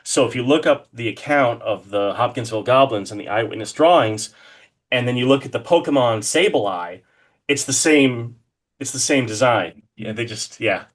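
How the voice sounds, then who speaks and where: A man's voice: mid-range voice, moderate pace. A man chatting casually as an interview guest, rambling and enthusiastic, often hesitating as he works out his thought. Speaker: guest, horror artist being interviewed.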